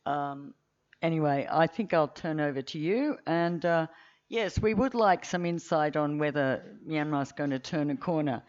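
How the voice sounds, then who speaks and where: A woman's voice: low-pitched, animated, moderate pace, a little mellow. A woman moderating a talk, introducing the speaker in a loose, chatty way with fillers. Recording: dull lo-fi recording.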